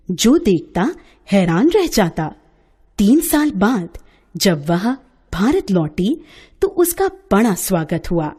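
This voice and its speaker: A woman storyteller giving an expressive scripted narration of a bedtime story. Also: medium pitch, breathy edge.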